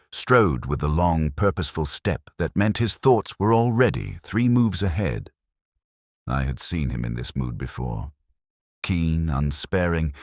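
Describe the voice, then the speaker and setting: Very deep male storyteller. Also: no room sound, clean studio sound. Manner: measured, literary storytelling. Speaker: narrator of a detective story. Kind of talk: scripted audiobook narration.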